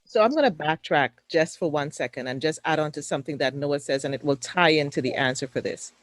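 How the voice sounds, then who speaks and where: A woman's voice: low voice, fairly quick, no room sound. A woman with a Trinidadian accent speaking on a webinar panel over a video call, emphatic and preacher-like in her cadence. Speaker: panelist, mental fitness coach.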